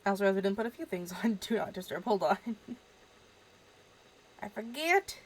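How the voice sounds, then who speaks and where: A woman's voice: medium pitch, lively intonation, a little fast, rough recording. Stuffed-up woman chatting through a game. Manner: chatty, rambling, trails off with fillers; talks quietly so as not to wake others. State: stuffed up from allergies. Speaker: gaming video host. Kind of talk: casual let's-play commentary. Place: room at home at night. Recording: static from a faulty mic.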